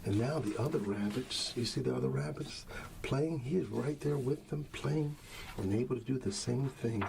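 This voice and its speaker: A man speaking softly and soothingly as a father at bedtime in an ASMR roleplay, often trailing toward a whisper, with a creaky voice. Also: mid-range voice, normal speed, background noise. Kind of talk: soft ASMR bedtime storybook reading, talking to a child.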